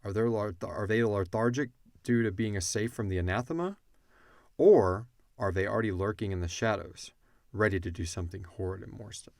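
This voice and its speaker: Male podcast co-host, lowish voice, very dry recording, chatting loosely and slangily about his fiction show. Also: normal speed, a little mellow, dry recording. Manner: informal, slangy, thinks aloud. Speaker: podcast co-host and audio drama writer. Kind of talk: casual Q&A chat about an audio drama.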